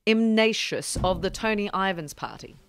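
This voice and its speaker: A woman's voice: mid-range voice, expressive, normal speed, a little hiss or hum, natural voice. A woman playing a cool, cutting reporter. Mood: needling. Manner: crisp, needling, self-assured.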